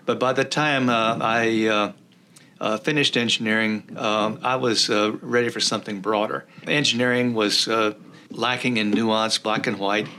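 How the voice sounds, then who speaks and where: Older man with bright, hesitant speech. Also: lowish voice, fairly even intonation, slight background noise. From rural Texas. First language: Czech. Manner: halting, many "uh" fillers and restarts. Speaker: law professor, guest. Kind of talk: interview, recalling his career.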